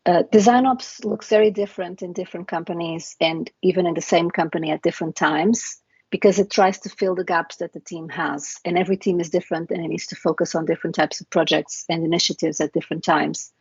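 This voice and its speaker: A middle-aged woman from Portugal giving a prepared conference talk in a measured, explanatory way, with frequent fillers. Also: lowish voice, steady tone, breathy edge, no echo, highs cut off. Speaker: conference speaker.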